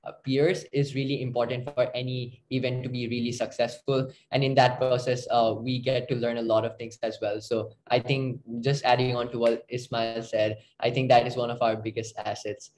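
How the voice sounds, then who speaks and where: A man's voice: medium pitch, level tone, moderate pace, hardly any pauses, a little mellow, slight background noise, low-quality recording. A young man from Nepal, a student panelist giving an earnest answer in a discussion. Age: young adult.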